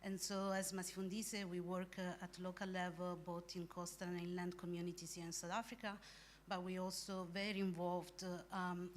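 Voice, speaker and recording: A woman from Italy with an Italian accent, introducing herself as a panelist with measured speech and frequent fillers. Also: fairly low pitch, a little hiss or hum. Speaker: panelist, fisher rights organizer. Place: conference hall.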